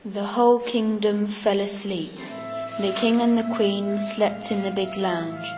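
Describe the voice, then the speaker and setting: A woman's voice: mid-range voice, steady tone, a little slow. A woman reading a scripted fairy tale as a storyteller. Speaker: storyteller in a school project. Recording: long reverb, loud music behind.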